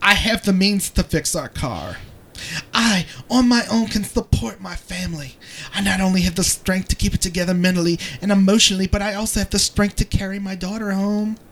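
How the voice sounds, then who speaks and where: Guy doing a proud struggling mom, portuguese accent, theatrical, pitched up a bit. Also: a little fast, fairly bright tone. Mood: impassioned. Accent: Portuguese, put on. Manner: theatrical, impassioned. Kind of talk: dramatic reading.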